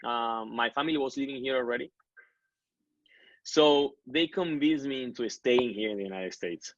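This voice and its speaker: A man's voice: mid-range voice, normal speed, no echo. Man in his thirties. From Colombia. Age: 30s. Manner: earnest, many self-corrections and restarts. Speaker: guest. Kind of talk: casual interview storytelling. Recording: dull lo-fi dry recording.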